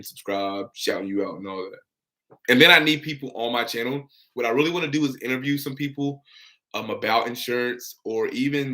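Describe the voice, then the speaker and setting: A man's voice: fairly low pitch, a bit bright. Upbeat man answering viewer questions. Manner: upbeat and encouraging, reads out and answers viewer comments. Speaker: insurance broker and livestream host. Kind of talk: casual livestream Q&A with viewers.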